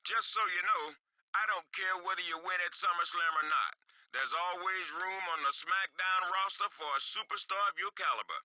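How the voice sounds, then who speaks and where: Slick wrestling manager, smooth talker, very forceful, slightly high voice, video game cutscene. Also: male voice, moderate pace. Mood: persuasive.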